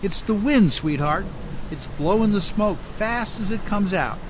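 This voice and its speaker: An actor playing a scrappy, folksy working-class riverboat skipper in a radio play, with plain, ungrammatical speech. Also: male voice, high-pitched, expressive, measured pace, noisy background. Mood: affectionate, determined.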